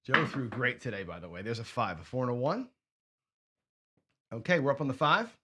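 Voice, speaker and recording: A man hosting a craps strategy show, hoarse and sniffly, chatting casually as he thinks aloud and counts out chips and bets. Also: medium pitch, big pitch swings, a little fast, very noisy. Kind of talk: casual play-along commentary.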